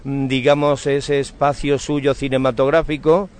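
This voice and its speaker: A man's voice: medium pitch, flat delivery, a little slow. A man speaks as a local radio interviewer. He is courteous and effusive, favouring florid phrases in a conversational style. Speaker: radio host interviewing on the street.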